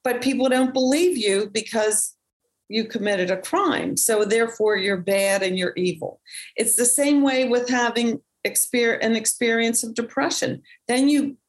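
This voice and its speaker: A woman's voice: on the high side, measured pace. A woman speaking reflectively in a casual conversation, often restarting her sentences as she thinks. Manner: reflective, with frequent restarts and fillers.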